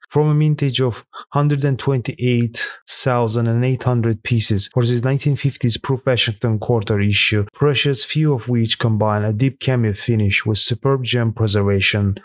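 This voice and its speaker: A man reading a scripted voice-over that counts down rare coins sold at auction, in a steady, even manner with an occasional slip. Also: mid-range voice, no room sound, studio quality. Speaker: narrator of a coin auction countdown. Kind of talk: scripted voice-over narration read aloud.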